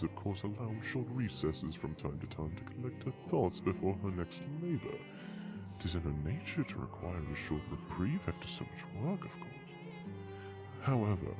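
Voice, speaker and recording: A haughty male dragon of high rank, acted by a man, looks down on everyone with pompous, mock-polite drawling. The voice is fairly low and very expressive in its rise and fall, with music clearly playing behind it in this audio drama. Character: haughty, condescending regal male dragon, princess's fiancé. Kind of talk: audio roleplay drama acting.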